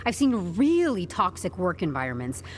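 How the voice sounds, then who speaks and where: An actress playing a composed, stubborn HR newcomer in an audio drama, speaking with deadpan corporate politeness and wry candour. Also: female voice, fairly low pitch, moderate pace, a little hiss or hum. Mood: frank, weary. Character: composed, stubborn young HR newcomer turning interrogation into interview.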